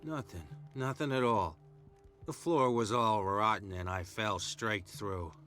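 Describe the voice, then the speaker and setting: An actor playing a dazed, injured island man in a video game, muttering and rambling in a halting, confused way. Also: on the low side, a bit bright, soft background music. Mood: dazed, evasive. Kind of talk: video game cutscene acting.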